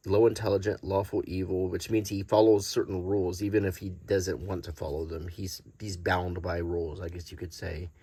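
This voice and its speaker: Man, deep voice, casual unscripted commentary, halting delivery, faint hiss of background noise. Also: flat delivery, mellow. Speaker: host presenting vintage collectible game cards.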